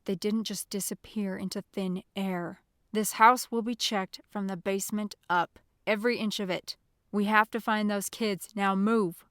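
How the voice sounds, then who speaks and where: Lady doing a bossy bad guy agent in an audiobook, loud and exasperated, barking orders. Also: medium pitch, steady tone, unhurried, no room sound, studio quality, lightly acted. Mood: exasperated, commanding. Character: exasperated, domineering male villain agent. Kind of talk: audiobook character dialogue.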